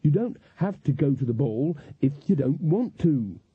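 A man's voice: a little high, expressive, moderate pace, husky. A man voicing an earnest, encouraging fairy godmother, a woman who is insistent but easily flustered, in an audiobook story. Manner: insistent, hesitant when challenged. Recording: echoey, narrow phone-like audio.

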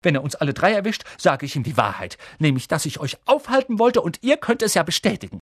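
A bossy young schoolgirl from a storybook, acted out by a male narrator, pitched high and sharp. She scolds and preaches with shrill indignation, her voice swooping up and down. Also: sing-song, quick pace, slightly rough, no room sound, clean studio sound. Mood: defiant.

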